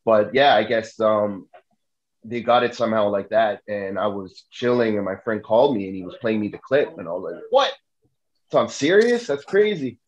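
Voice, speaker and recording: A man with a fairly low voice tells a story as a guest rapper, laid-back and full of fillers. He speaks from a home room over a video call. The audio is a little dull and lo-fi. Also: moderate pace. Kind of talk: casual interview talk.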